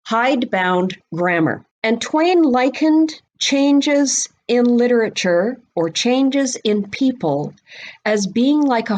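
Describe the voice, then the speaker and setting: Slow-paced, deliberate woman explaining. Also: medium pitch, darkish tone. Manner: deliberate, explanatory, pausing between phrases. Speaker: guest expert on mystery fiction, bookseller and writer. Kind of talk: informal explanatory podcast talk.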